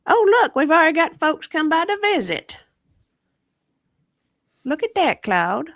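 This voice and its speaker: A woman's voice: high voice, sing-song, measured pace, fairly bright tone, dry recording, clean studio sound. A woman playing a folksy grandma who hosts a chat show, talking in a hesitant drawl. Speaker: host. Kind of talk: improvised comedy chat in character.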